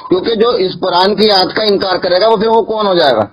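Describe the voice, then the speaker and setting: A male Islamic cleric debating religion over a recorded phone call, insistent and argumentative, pressing his points by repeating them, with a husky voice. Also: sits high, fairly quick, slight background noise.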